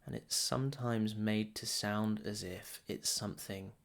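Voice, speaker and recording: A man teaches meditation in a soothing, gravelly voice that stays very even in pitch. He moves very slowly and deliberately, setting down short phrases with long pauses between them. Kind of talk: guided meditation.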